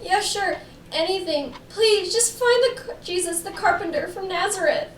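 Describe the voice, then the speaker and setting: A woman's voice: extremely high pitch, moderate pace, roomy sound, distant mic, slight background noise. A woman playing an emotional, imploring female client of a detective in a radio play. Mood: distraught. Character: distraught, pleading young woman client of a detective.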